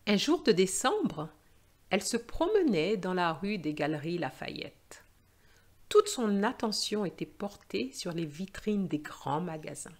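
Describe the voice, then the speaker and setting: A woman with a mid-range voice speaks slowly and deliberately, like a teacher reading a story to learners. Her delivery is expressive, with careful phrasing that is easy to follow. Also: medium pitch. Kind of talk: slow, clear story reading for language learners.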